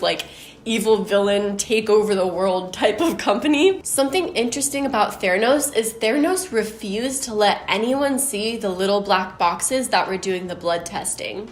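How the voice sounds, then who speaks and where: A chatty woman tells a story in a loose, casual way, her voice on the deeper side. She leans on "like" as she talks. The sound is roomy, with a clear echo around her. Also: a little hiss or hum. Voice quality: somewhat deep voice. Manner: chatty, uses "like" often. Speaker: YouTube storyteller.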